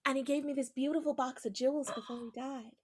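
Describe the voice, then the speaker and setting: A woman's voice: high-pitched, steady tone, quick pace. A woman playing a sweet, brave young girl in a radio play, speaking with earnest innocence. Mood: earnest, gentle.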